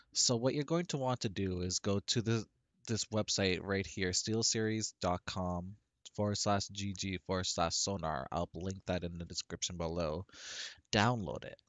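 A deep-voiced man speaks slowly and takes his time, restarting his sentences as he walks through a software setup. His headset microphone picks him up dry and close, with no room sound around him. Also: dry recording. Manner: rambling with frequent restarts and fillers. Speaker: tech tutorial creator. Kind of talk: casual screen-recorded software tutorial.

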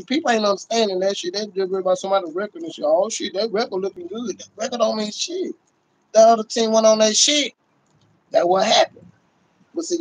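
A man's voice: sits high, no echo, low-quality recording. A middle-aged man chatting casually on a sports livestream, slangy and sweary, leaning on repeated catchphrases. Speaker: livestream host and Cowboys fan. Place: small room indoors. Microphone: phone at close range.

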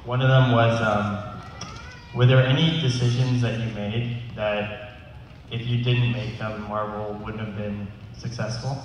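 Halting man in a noisy echoing hall. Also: on the low side, flat delivery. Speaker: audience questioner at convention panel. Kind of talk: unscripted question from the floor. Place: large convention hall. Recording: echoey hall from far off, noisy.

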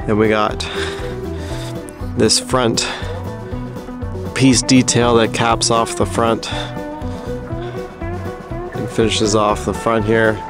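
A man's voice: fairly low pitch, level tone, slow, long pauses, over music. A man giving a casual, plain-spoken, practical walkthrough as the host of a DIY building vlog. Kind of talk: casual explanatory walkthrough of construction work.

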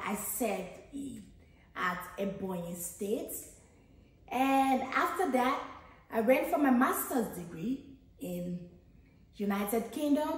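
Slow, halting Nigerian woman in echoey room. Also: on the high side. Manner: halting, thinks aloud with many restarts. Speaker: vlogger. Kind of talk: casual get-to-know-me chat.

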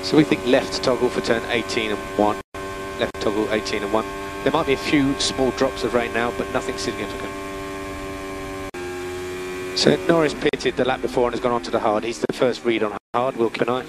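Calm male race engineer over noisy radio. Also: mid-range voice, a little fast. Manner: calm, methodical, repeats key calls. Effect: team radio transmission. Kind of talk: terse race radio instructions. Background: vehicle hum and noise.

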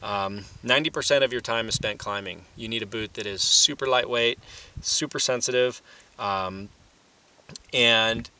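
A man's voice: mid-range voice, normal speed, sharp tone. A man gives an informal gear demonstration as an instructor, explaining things in a plain-spoken, matter-of-fact way. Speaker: instructor and presenter. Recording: distant mic, light background noise.

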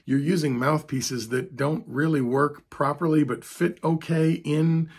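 Man, hoarse raspy voice, gravelly, rambling casual chat, home music room, mic close by. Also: a little high, steady tone, unhurried. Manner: rambling, chatty, self-deprecating. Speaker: trumpet hobbyist vlogger. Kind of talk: casual talk to camera. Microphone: studio mic beside camera.

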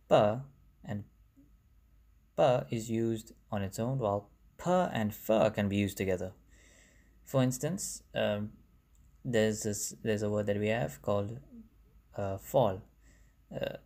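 A man's voice: on the low side, warm tone. A young man with a South African accent, native Bangla speaker, talking through a language lesson in a chatty, self-correcting way, recorded on a phone. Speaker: language explainer. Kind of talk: casual narrated lesson over slides.

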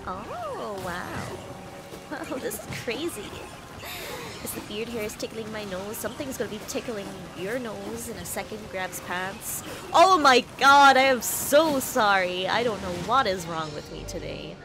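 A woman's voice: medium pitch, big pitch swings, unhurried, music in the background. Playful, sniffly woman streamer. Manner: playful, teasing, swears freely. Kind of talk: casual gameplay livestream chat.

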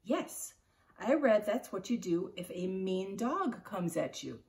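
A woman's voice: mid-range voice, big pitch swings, takes it slowly, a little mellow, recorded from a distance. A woman voicing an eager young boy in a storybook read-aloud, urgent and a bit bossy. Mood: cautious.

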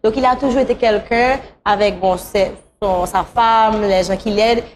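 A woman speaks as a talk show guest. Her pitch is average for a woman, and the delivery is conversational, as in a studio interview. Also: moderate pace, slight background noise.

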